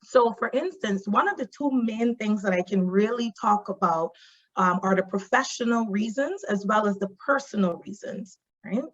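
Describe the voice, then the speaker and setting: A woman's voice: medium pitch, animated, moderate pace, highs cut off. A woman from Barbados with a Barbadian accent, giving a webinar talk as a social worker. She explains point by point and keeps checking in with "right?" and "you know". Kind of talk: webinar presentation. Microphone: video call.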